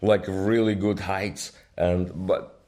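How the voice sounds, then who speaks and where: A man in his fifties from Ukraine with a Ukrainian accent, speaking as a guest comedian in a casual podcast interview, emphatic and halting in his phrasing. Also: low-pitched, flat delivery, warm tone. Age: 50s.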